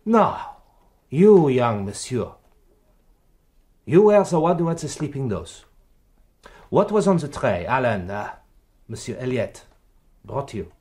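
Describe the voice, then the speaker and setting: Police inspector, radio play, very animated pitch, probing, impatient, insistent. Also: male voice, takes it slowly, pauses often. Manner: probing, pressing, repeating questions. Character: persistent French police inspector questioning children, impatient and insistent. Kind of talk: radio drama acting.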